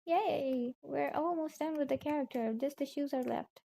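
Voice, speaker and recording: A young woman with a raspy voice from a nap, softly talking through her digital painting on a live stream. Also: high-pitched. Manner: soft, unhurried commentary on her own drawing. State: just woke up from a nap. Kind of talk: casual narration while drawing.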